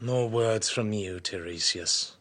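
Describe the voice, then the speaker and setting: A man's voice: on the low side, slow, a bit bright. An actor playing an arrogant, scornful young king in an audio drama, with an imperious, sneering manner. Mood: sneering contempt.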